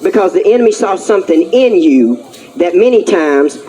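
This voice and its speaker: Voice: mid-range voice, animated, normal speed, mellow, slight background noise, poor audio. A preacher delivering a fervent sermon, emphatic and repetitive, with rousing call-outs to the congregation.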